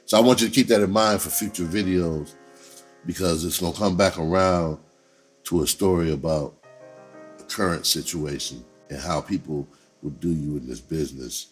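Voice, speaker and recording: A man's voice: low-pitched, normal speed, faint music behind. A man speaking in a casual storytelling monologue, conversational and profane, with streetwise slang. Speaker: entertainer telling personal stories on own channel.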